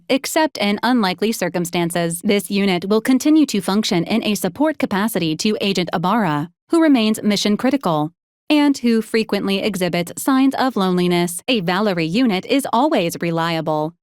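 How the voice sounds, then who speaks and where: Clinical, deadpan female robot medic played by an actress. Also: mid-range voice, no room sound, studio quality, close to an everyday voice. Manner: clinical, formal, deadpan delivery. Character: polite, literal-minded female robot medical support unit. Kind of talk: video game voice acting.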